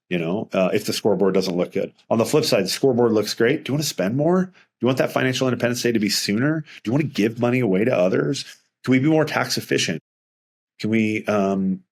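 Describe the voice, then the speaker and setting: A man's voice: on the low side, creaky. A middle-aged man speaking as a financial advisor in a casual interview, earnest and rambling with frequent restarts.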